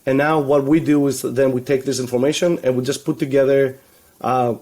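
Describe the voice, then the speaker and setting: A man's voice: mid-range voice, fairly even intonation, brisk, dark tone. Greek-born man chatting on a video call. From Greece. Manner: rambling, many restarts and fillers, laughs easily. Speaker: guest, web agency founder. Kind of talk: casual video call interview. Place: home room full of toy shelves.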